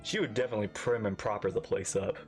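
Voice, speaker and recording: A woman's voice: rumbling low, fast talker, a little mellow, faint music behind. A woman streamer giving casual live game reaction commentary, chatty and thinking aloud.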